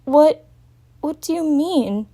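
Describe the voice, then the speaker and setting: A woman's voice: high-pitched, expressive, takes it slowly, creaky, warm tone, pitched above the performer's own voice, full character voice. A woman voicing a female unicorn in a dramatic story reading, distressed yet reassuring, with a strained, tender delivery. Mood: confused. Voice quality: strained, tearful.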